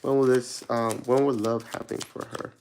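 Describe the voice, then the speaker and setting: A man from Mexico doing a casual tarot reading for viewers, rambling and searching for words, relaxed and laughing at himself. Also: mid-range voice, moderate pace, a little hiss or hum. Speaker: tarot reader answering viewer questions.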